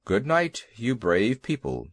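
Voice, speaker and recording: A man's voice: medium pitch, wildly swooping pitch, slow pace, dry recording, full character voice. A man doing plodding, simple oxen. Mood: solemn. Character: slow, simple gun bullocks, plodding.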